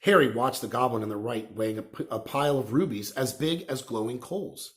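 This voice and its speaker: A man reading a children's novel aloud as an expressive storyteller. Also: medium pitch, fairly quick. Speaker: reader, storyteller.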